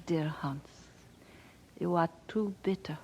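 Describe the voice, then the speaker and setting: An actress playing a gentle, devoted peasant mother in a radio drama, soft and reassuring. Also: on the low side, takes it slowly, a little hiss or hum. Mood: tender.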